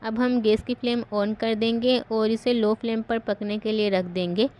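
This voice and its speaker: A woman host giving calm, step-by-step voice-over narration for a home cooking recipe. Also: a little high, normal speed.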